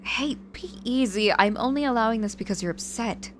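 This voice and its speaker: A woman's voice: on the high side, a little fast, slight background noise, natural voice. A woman playing a sardonic but caring young witch in an intimate ASMR audio roleplay, speaking casually and teasingly with feigned reluctance. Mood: grudgingly tender.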